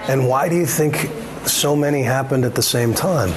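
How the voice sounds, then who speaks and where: A man asks questions as an interviewing reporter. His voice is mid-pitched. It is recorded on location with clearly audible ambient noise. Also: moderate pace.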